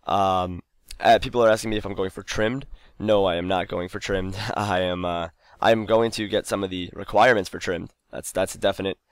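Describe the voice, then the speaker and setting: A man's voice: low voice, steady tone, normal speed, clear, resonant tone, no echo. A young man giving casual, rambling commentary over his gameplay, full of fillers and restarts, tired and losing his voice. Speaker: gaming channel host.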